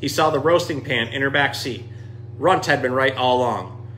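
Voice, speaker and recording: A man reading a children's picture book aloud in an animated, expressive storytelling style. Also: medium pitch, moderate pace, a little hiss or hum. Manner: expressive, dramatic dialogue readings.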